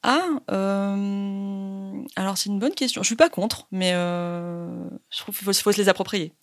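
A woman's voice: medium pitch, fairly even intonation, a little slow, no room sound, studio quality. Hesitant, laughing French woman musician. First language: Spanish. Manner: hesitant, many fillers, laughs often. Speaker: singer-songwriter guest. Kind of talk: interview answers.

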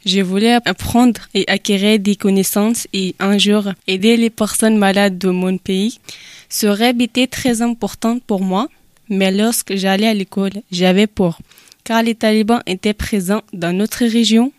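A woman's voice: mid-range voice, fairly even intonation, measured pace, a little mellow, dry recording. Young woman, dry close sound. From Afghanistan. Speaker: speaker giving a personal testimony. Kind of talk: scripted testimony read aloud.

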